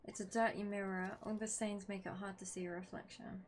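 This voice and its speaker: A woman's voice: mid-range voice, flat delivery, brisk, background noise. A young woman playing a horror game at home, chatting casually, swearing now and then and reading the story text aloud. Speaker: gaming video creator. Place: at home with her dog.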